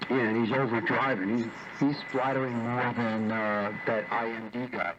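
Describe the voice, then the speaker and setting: A man in a casual, teasing chat over shortwave ham radio, speaking a little haltingly like an operator among friends. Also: mid-range voice, flat delivery. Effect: shortwave radio transmission. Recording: muffled, noisy shortwave radio reception. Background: radio static.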